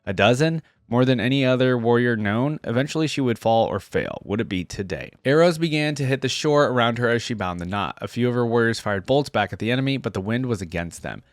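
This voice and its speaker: A man's voice: mid-range voice, expressive, brisk, few pauses, no echo. Casual man reacting and reading aloud, dry sound. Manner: informal, breaks off to comment on what he reads. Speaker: reaction video host.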